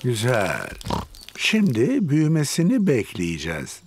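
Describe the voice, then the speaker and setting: A man voicing a kindly, patient old grandfather pig in a cartoon, jolly and drawn-out in delivery. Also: mid-range voice, wildly swooping pitch, over music. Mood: patient, amused.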